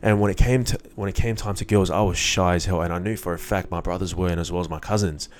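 Young man with a very low voice. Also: steady tone, fast, a little hiss or hum. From born in Iraq, moved to Australia as a child. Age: young adult. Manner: informal, candid, with slang and swearing. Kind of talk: casual monologue mixing reflection and personal storytelling.

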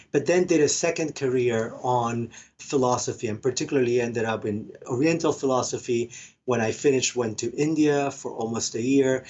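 A man's voice: on the high side, a little mellow, breathy edge. A middle-aged man from Argentina telling his own story reflectively as an interview guest, often restarting as he thinks. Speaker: podcast guest, meditation teacher and professor. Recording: slightly dull, band-limited sound.

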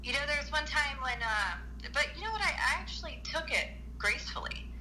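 A woman's voice: high voice, animated, fairly quick, noisy background. A woman speaking candidly in casual conversation, plainspoken and reflective.

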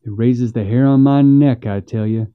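A man's voice: medium pitch, moderate pace, smooth, narrow, tinny audio, close to an everyday voice. A man voicing an irritable, superstitious rural backwoods man in an audiobook, folksy and grunting. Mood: uneasy, insistent. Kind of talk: audiobook character voice.

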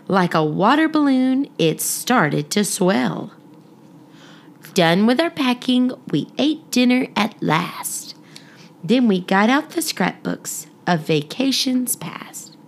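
Slow-paced, gravelly-edged woman reading for children. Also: medium pitch. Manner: expressive, deliberate read-aloud phrasing aimed at young listeners. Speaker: children's story podcast host and reader. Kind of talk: children's storybook narration and friendly address to listeners.

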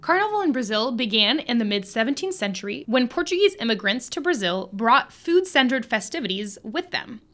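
An American woman co-hosting a podcast, reading prepared history facts in a clear, upbeat way. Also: fairly high pitch, very animated, fairly quick, few pauses, a bit bright. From United States. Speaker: podcast co-host. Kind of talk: scripted podcast narration.

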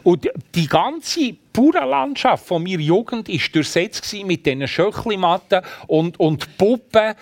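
An older man with a Bernese Swiss German accent talks through old farming words in a relaxed, explanatory way. His voice sits somewhat high for a man, and he often restarts and checks in with tag questions. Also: a little slow, few pauses. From Switzerland. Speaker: guest, writer on dialect. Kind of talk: casual conversation.

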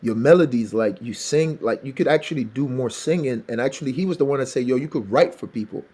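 Raspy-voiced man telling stories. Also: medium pitch, fast. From Benin, West Africa. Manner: animated storytelling, laughs often. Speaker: singer and songwriter guest. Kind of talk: casual interview chat.

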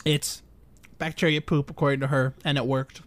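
A man's voice: fairly high pitch, level tone, normal speed, fairly bright tone. A man in his early twenties, a podcast co-host telling stories in a laid-back, slangy way. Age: early 20s. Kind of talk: casual chat.

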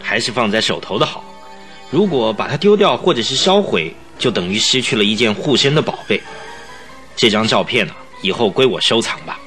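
A man acting an anxious, protective gentleman in a Mandarin radio drama. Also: lowish voice, lively intonation, fairly quick, faint music behind. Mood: cautious, firm.

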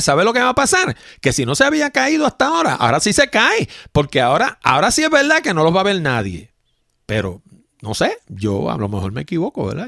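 A Puerto Rican man hosts a solo commentary show, his voice sitting a little high and swinging widely up and down as he makes his points. He speaks right to the listener and keeps asking rhetorical questions. The recording is close and dry, with no room sound. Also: no room sound. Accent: Puerto Rican Spanish. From Puerto Rico. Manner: animated, talks straight to listeners, rhetorical questions. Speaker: podcast host.

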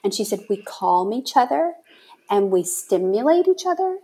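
A woman's voice: on the high side, very animated, normal speed, warm tone, low-quality recording. A woman hosting a podcast in a casual, chatty style, effusive and encouraging toward her guest. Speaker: podcast host. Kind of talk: casual interview chat.

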